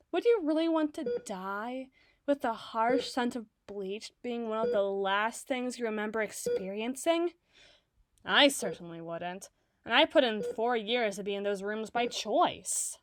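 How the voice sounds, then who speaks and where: A woman playing an obsessive, doting doctor in an ASMR roleplay, speaking softly and articulately with theatrical tenderness. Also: a little high, expressive, measured pace. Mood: brooding, devoted. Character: obsessive, doting yandere woman doctor, soothing but possessive. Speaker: audio roleplay voice performer.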